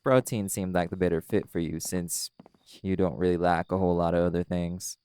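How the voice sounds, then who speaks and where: A man playing a calm, caring were-dog pack alpha in an audio roleplay, gently reassuring a friend. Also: low-pitched, a little fast, clear, resonant tone, lightly acted. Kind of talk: audio roleplay acting, intimate comforting talk.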